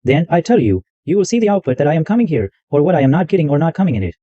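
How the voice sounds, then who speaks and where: A man hosting a screen-recorded software tutorial, narrating every click step by step in loose, repetitive wording. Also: fairly high pitch, sing-song, rapid-fire, no echo. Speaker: tutorial host. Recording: dull lo-fi dry mic sound.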